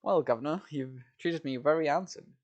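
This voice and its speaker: Folksy Cockney working guy, friendly and talky, pitched a bit up, a man doing the voice. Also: normal speed, darkish tone, lo-fi sound, close to an everyday voice. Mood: chatty. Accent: Cockney put on. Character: working-class London male workman, affable and chatty.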